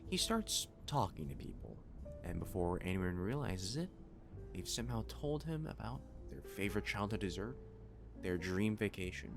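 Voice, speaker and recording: A man playing a caring, gently teasing catboy cafe waiter in a soothing ASMR roleplay. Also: fairly low pitch, creaky, exaggerated voice.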